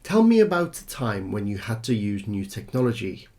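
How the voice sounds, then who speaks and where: A man acting as an exam tutor, giving scripted instructions in a clear, instructional manner. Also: fairly low pitch, sing-song, moderate pace, warm tone.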